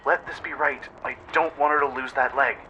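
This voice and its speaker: Man acting a male pony field medic, composed, brisk, reassuring, forceful bright tone, radio play. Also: mid-range voice, steady tone, highs cut off, slight background noise, pitched above the performer's own voice, close to an everyday voice. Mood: tense, hopeful.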